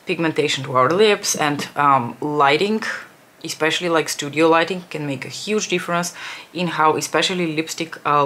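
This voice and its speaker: A woman's voice: low-pitched, a bit bright. A young woman chatting casually through a makeup tutorial, rambling and correcting herself as she works. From Bulgaria. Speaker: beauty vlogger.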